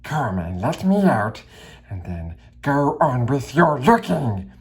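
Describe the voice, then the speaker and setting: A woman's voice: very deep voice, very animated, slow pace, exaggerated voice. A woman voicing a small, anxious but stubborn male hobbit who insists and calls out. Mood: insistent. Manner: insistent, calling out.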